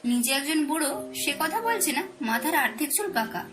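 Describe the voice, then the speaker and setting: A woman's voice: sits high, animated, moderate pace. A woman playing a young, playful and affectionate Bengali wife in a romantic audio story, with teasing tenderness. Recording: music under the voice. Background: background music.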